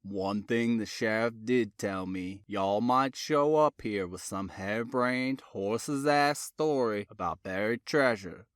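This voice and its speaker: A man voicing a composed, slow-talking male Southern detective with a Tidewater drawl. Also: clear, resonant tone, dry recording, clean studio sound. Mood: dismissive. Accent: Tidewater Virginia drawl. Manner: slow, matter-of-fact, low and cool.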